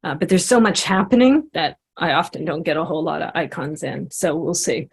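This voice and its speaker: A woman facilitating a guided self-inquiry session over a video call, speaking in a gentle, encouraging way. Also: deep voice, fairly quick, slightly rough. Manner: gentle, encouraging, drawing while talking. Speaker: satsang facilitator and coach.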